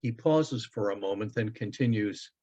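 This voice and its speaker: A man narrating a literary story aloud in a deliberate, tender manner, as a storyteller reading from a written piece. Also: fairly low pitch, warm tone. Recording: dull, lo-fi online event audio.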